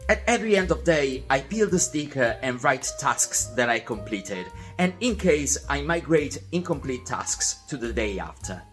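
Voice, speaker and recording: Man, young adult, animated, friendly and methodical, scripted vlog voiceover. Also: mid-range voice. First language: Italian. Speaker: vlog host.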